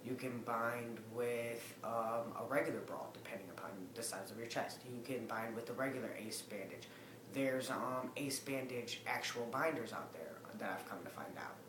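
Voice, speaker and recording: A young man with a raspy voice, rambling to a webcam in a casual update, with lots of ums. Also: mid-range voice, moderate pace, roomy sound, background noise. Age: young adult. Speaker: vlogger. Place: living room with a Christmas tree. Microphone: webcam at desk distance.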